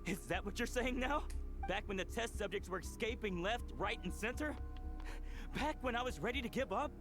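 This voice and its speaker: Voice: mid-range voice, expressive, moderate pace, close to an everyday voice. A performer voicing a bitter, wounded young experimenter in an anime game. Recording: game music behind.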